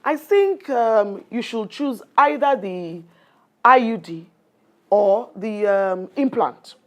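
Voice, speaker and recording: Bossy, patronizing Nigerian nurse. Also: female voice, a little high, animated, slow. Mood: condescending, amused. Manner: patronizing, talks down with exclamations and tags. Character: bossy, condescending female family planning nurse, patronizing and chatty. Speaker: nurse in an educational radio play. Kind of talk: radio drama acting.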